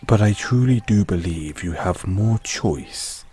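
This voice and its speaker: A man's voice: fairly low pitch, expressive, slow, dark tone. A man playing a devoted, formal butler with a painful past, speaking solemnly and deferentially in a scripted audio roleplay. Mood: gentle, earnest.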